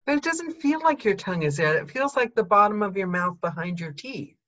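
A woman's voice: medium pitch, lively intonation, fairly quick, fairly bright tone, lo-fi sound. A woman hosting a video call, chatting and asking curious questions. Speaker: host. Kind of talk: casual chat.

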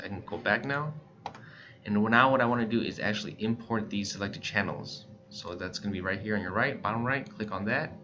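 An American man giving a casual, plain-spoken step-by-step tutorial as he presents software on screen. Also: fairly low pitch. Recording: dull lo-fi voice-over recording.

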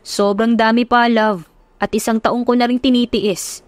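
A woman's voice: a little high, little pitch movement, moderate pace, no echo, lightly acted. Woman voicing a playful young husband. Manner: easygoing, teasing.

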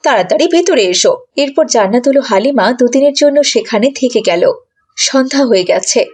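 High-voiced woman narrating a children's story. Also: normal speed, darkish tone, breathy edge.

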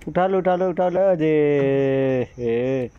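A man's voice: fairly high pitch, slow, clear, resonant tone, lo-fi sound. A man filming a child outdoors in a rural courtyard, narrating casually and cheering him on with laughs. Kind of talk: casual home-video commentary. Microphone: phone camera held by the filmer.

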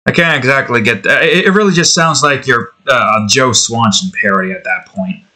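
A man's voice: mid-range voice, brisk, pushed and bright. A young man giving rambling, casual commentary to himself while drawing, now and then testing out voices, recorded on a desk mic in a small room at home. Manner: rambling, self-talk, trying out voices. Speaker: art video creator.

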